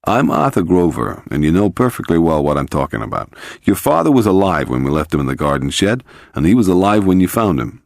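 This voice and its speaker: A low-voiced male blackmailer, played by a male reader, speaks with deliberate, cool menace in an audiobook crime story. The sound is close and dry. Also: big pitch swings, vocal fry, dry recording, heavily acted. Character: Arthur Grover, menacing male blackmailer on the phone.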